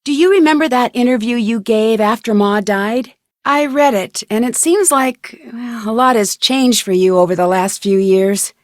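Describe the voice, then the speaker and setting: A woman acts out a young female sleuth from a video game, with a fairly high voice and a close, dry sound. She is polite and inquisitive, putting her questions with open curiosity. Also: moderate pace, no room sound, natural voice. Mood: polite, earnest. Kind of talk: video game dialogue acting.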